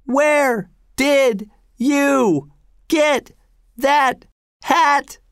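A man's voice: extremely high pitch, extremely slow, no echo, studio quality, pitched above the performer's own voice, full character voice. A man voicing a mischievous commoner in a storybook, calling out mockingly like a rowdy heckler. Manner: mocking shouts. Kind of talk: storybook character voice.

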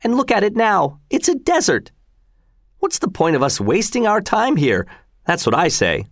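A man acts out a weary, grumbling soldier guard from a storybook. He complains with sarcastic emphasis, his pitch swooping up in exasperation. The sound is dry and close. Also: huge pitch swings, normal speed, dry recording, exaggerated voice. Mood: exasperated complaining. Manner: complaining, emphatic, repeating himself. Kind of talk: storybook character voice.

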